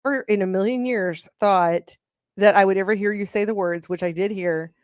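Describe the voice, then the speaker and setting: A woman's voice: medium pitch, normal speed, no echo, muffled recording. A woman co-hosting a live racing engine show, chatting casually and rambling a little as she greets viewers and reads out their comments. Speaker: co-host of a racing engine livestream. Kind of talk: casual chat.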